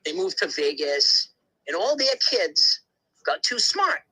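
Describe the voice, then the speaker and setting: A man with a husky, slightly rough edge to his voice holds forth in a loose podcast chat. He talks bluntly and emphatically, piling on asides and restarting his sentences as he goes. His tone is bright and forceful. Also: high-pitched, very animated, takes it slowly, rough recording. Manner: rambling, emphatic storytelling with many asides and restarts; blunt, occasional swearing. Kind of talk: casual conversation.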